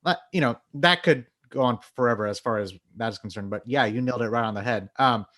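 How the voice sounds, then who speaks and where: A man from Bangladesh hosts an interview, friendly and easygoing, laughing readily. He talks quickly, with loose fillers. His pitch sits in the middle. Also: a bit bright. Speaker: interview host. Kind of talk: casual interview chat.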